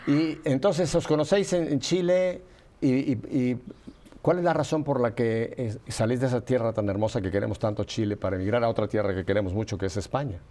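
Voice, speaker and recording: A man from Mexico hosting a religious talk show, interviewing guests in an expansive, animated way with playful asides. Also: mid-range voice, brisk, a little hiss or hum. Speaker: host of a faith talk show.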